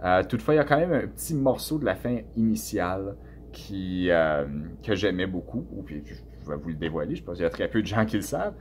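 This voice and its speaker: Canadian french guy, real low voice, lots of ums and restarts, bit of hiss behind. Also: sing-song, brisk, darkish tone. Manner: hesitant, many filler sounds and restarts. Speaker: author answering students' questions. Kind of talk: casual spoken answers to a class.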